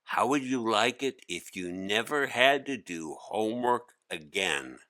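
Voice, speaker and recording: A man acts out a tiny old male gnome from a children's storybook, low in voice. He is shifty and chummy, with an unhurried, wheedling dealmaker's patter. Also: expressive, sharp tone, dry recording. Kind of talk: storybook character voice.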